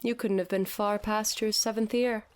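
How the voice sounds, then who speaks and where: A woman's voice: mid-range voice, steady tone, moderate pace, natural voice. Grandiose, addled theatrical woman, played by an actress. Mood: mock-tragic. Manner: grandiose mock-Shakespearean declaiming. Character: dazed theatrical woman raving as Hamlet to a fish head. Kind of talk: scripted audio drama acting.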